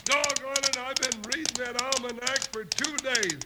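A noisy old radio recording carries a very high-pitched, folksy deputy sidekick. He is good-natured and easily puzzled, talking in a rustic cowboy way as in a Western serial. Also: steady tone, moderate pace. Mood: folksy, uneasy. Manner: drawling rustic cowboy talk. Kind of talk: 1950s Western radio drama acting.

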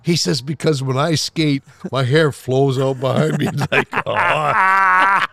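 A man's voice: a little high, takes it slowly, vocal fry, bright, forceful tone, no echo. A man in his seventies, a former hockey goalie, telling teasing stories and laughing often in casual podcast chat. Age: 70s.

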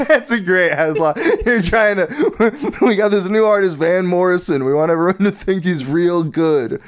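A man's voice: high-pitched, animated, a little slow. A man in casual late-night comedic chat, riffing jokes and laughing often. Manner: giggly, riffing, frequent laughter.

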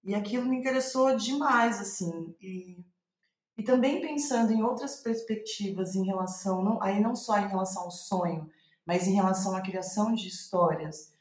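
A woman with a Brazilian Portuguese accent in a reflective interview, thinking aloud haltingly and trailing off. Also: mid-range voice, reverberant. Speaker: playwright, podcast guest.

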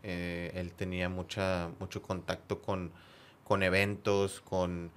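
A man with a northern Mexican accent chatting casually and telling anecdotes as a podcast guest, in a halting way with words repeated for emphasis. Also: low voice, monotone, a bit bright, smooth. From Monterrey area, northern Mexico. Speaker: podcast guest, football fan.

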